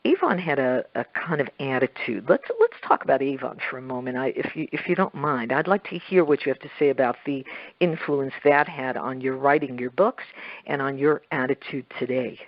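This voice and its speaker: A woman hosting an interview show, putting questions in halting, deliberate phrases that she often reworks. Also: extremely low pitch, animated, no echo, lo-fi sound.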